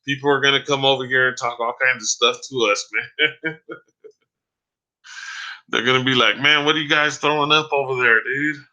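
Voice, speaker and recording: A man chatting casually on a live stream, talking to viewers by name in a loose, rambling way, with a voice starting to go hoarse. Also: mid-range voice, fairly even intonation, moderate pace, pauses often, sharp tone, lo-fi sound. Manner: loose and rambling, many fillers and restarts; addresses viewers by name, says "man" often. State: voice going hoarse.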